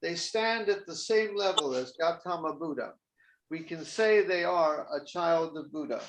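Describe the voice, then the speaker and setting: A man leading a Zen ordination ceremony, speaking gently and formally with a few halting fillers. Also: fairly high pitch, animated. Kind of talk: ceremonial officiating speech. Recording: echoey and distant with faint noise.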